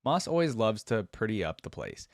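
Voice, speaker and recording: A man voicing a gentle, whimsical tree creature in a read-aloud story, with kindly, quirky politeness. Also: lowish voice, sing-song, moderate pace, no room sound, clean studio sound. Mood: helpful.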